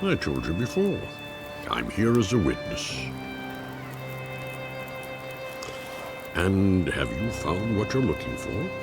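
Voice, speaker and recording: An actor playing a calm, cryptic, philosophical male witness in a video game drama, measured and enigmatic. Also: low voice, loud music behind the voice, full character voice. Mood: calm, knowing.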